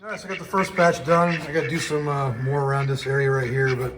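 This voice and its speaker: A hoarse man who is losing his voice after being sick, talking casually through a repair job in his home garage, rambling with lots of fillers. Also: mid-range voice, little pitch movement, fast, over music. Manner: rambling, easygoing, many fillers. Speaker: host of a home auto repair channel. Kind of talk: casual talk-through of a shop project.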